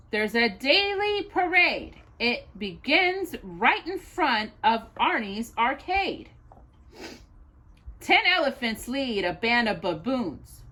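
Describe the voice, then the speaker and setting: A woman reading rhyming children's poems aloud as a storytime host, in an expressive sing-song way, as if to a child. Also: on the high side.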